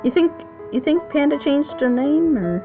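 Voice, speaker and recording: A woman's voice: sits high, expressive, moderate pace, music in the background. A woman hosting a group reaction show, chatting casually as she reviews an audio drama. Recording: noisy phone-like voice call.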